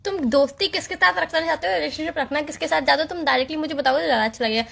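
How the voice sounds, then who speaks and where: A woman playing a stubborn young girlfriend in a romantic phone-call drama. Her voice is very high and she talks rapidly. She is argumentative and teasing, cutting in and repeating her points. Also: vocal fry, fairly bright tone, exaggerated voice. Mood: cool, defiant. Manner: argumentative, interrupting, repeats herself.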